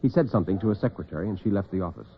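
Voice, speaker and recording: An American man telling dramatic tales as host and storyteller in classic radio style, theatrical and expressive. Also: low voice, rapid-fire, background noise. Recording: narrow-band vintage radio recording, muffled.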